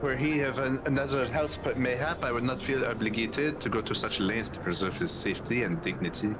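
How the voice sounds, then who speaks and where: Man reading lines in a pompous measured tone. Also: mid-range voice. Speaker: streamer reading game dialogue. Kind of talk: voicing game cutscene dialogue aloud with a mock-formal tone. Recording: music playing behind.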